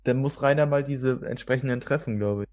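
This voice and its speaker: A man's voice: mid-range voice, fairly quick, a little mellow. A man talking casually as a participant in a podcast. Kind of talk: casual chat.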